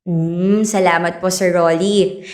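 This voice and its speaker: A woman playing a polite, patient fisheries speaker in a radio drama, explaining things in a measured, courteous way. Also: on the low side, fairly even intonation, darkish tone, reverberant.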